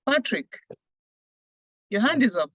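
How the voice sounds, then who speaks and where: A woman's voice: fairly high pitch, sing-song, slow, fairly bright tone, slightly rough, no room sound, muffled recording. A woman with a Ghanaian English accent, speaking earnestly and persuasively as a coach moderating an online panel. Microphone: video call.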